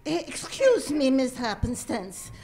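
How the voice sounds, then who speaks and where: A woman's voice: sits high, sing-song, a little slow, dark tone, full character voice. Woman playing a servile old lab assistant. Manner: formal, servile.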